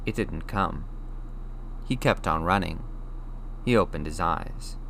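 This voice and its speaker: A man's voice: low-pitched, slow, gravelly, a bit bright, a little hiss or hum. A man reading a story aloud as scripted audiobook narration. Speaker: audiobook reader.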